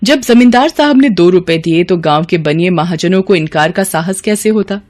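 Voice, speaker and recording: A woman's voice: on the low side, moderate pace, a little mellow. A woman reading scripted literary story narration as the narrator.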